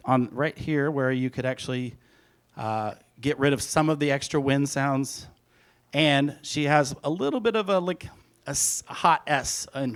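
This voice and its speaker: A man, a music producer, giving an informal, rambling hands-on presentation, talking through each step aloud as he goes. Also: mid-range voice. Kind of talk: casual hands-on audio production talk.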